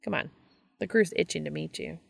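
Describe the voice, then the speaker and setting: A woman's voice: sits low, brisk, creaky, dry recording, full character voice. A woman voicing a breezy, teasing male TV prankster with a casual, familiar manner in an audiobook. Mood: teasing, knowing.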